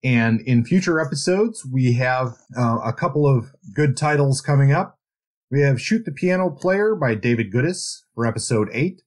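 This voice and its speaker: A man's voice: medium pitch, lively intonation, moderate pace. Reflective male podcast host. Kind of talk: casual book discussion.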